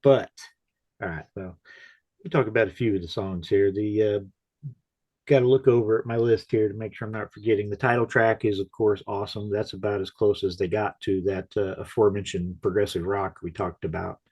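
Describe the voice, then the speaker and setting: A man's voice: on the low side, fairly even intonation, fairly quick, a little mellow, dull audio. A man co-hosting a casual music chat, tired from no sleep, with dry, self-mocking humor. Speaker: co-host.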